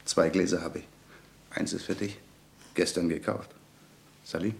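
Casting: young wartime resistance man in a radio drama; low, gravelly, hushed, tender and wry. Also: fairly even intonation, a little slow. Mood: tender, teasing. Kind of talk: German radio play acting, intimate dialogue and narration. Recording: faint background noise.

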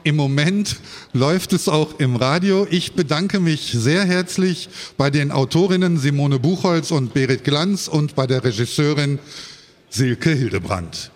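Higher-voiced man moderating on stage. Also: unhurried. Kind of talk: live stage interview moderation. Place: live stage at a book fair.

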